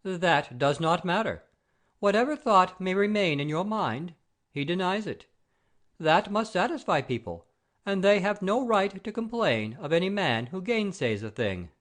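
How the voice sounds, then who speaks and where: Man as bossy noble father-in-law, high voice, swooping delivery, pompous and formal, audio play comedy. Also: lively intonation, unhurried, a bit bright. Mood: bossy, insistent. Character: imperious elderly gentleman father-in-law, pompous about honour and rank.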